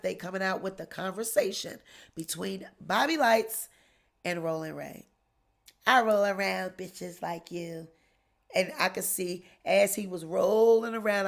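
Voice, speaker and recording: A middle-aged woman with a nasal voice, hosting a live celebrity gossip stream in a sassy, animated way, recorded on a studio mic in a small studio. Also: medium pitch, vocal fry. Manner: sassy, animated, swearing and laughing. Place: small studio with blue backdrop. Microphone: large studio mic on boom arm.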